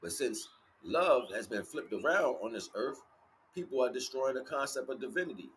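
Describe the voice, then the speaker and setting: Male spiritual livestream speaker, mid-pitched, slangy and profane, emphatic with repetition, slightly noisy recording. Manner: casual street slang with frequent swearing; emphatic, repeats phrases. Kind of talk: rambling motivational spiritual talk.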